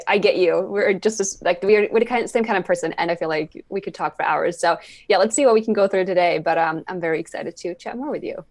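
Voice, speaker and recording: A woman from Sweden talking casually and anecdotally as a guest on a podcast. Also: fairly low pitch. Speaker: podcast guest, climate activist and speaker. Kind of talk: casual, anecdotal interview talk.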